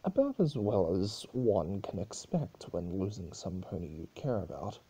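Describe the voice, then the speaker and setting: Man voicing a husky, mischievous male chaos spirit. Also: low-pitched, sing-song, a little slow, dark tone, heavily acted. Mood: somber. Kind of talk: dramatic fan-fiction reading.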